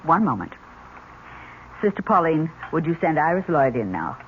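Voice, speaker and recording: A woman's voice: low-pitched, sing-song, moderate pace. An actress playing a stern, disapproving nun in charge of a girls' home, formal and severe, in a 1970s radio drama. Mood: stern disapproval. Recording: muffled old radio recording, noticeable hiss.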